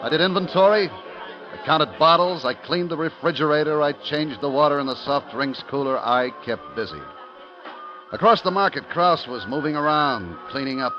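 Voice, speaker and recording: An actor playing a nervous, smooth-talking young store clerk, colloquial and wry, in a 1950s radio thriller. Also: male voice, on the high side, animated, normal speed, faint music behind, some chatter behind, lightly acted. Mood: anxious, recounting. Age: young adult. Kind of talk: 1950s radio thriller acting with first-person narration. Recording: dull vintage 1950s radio recording.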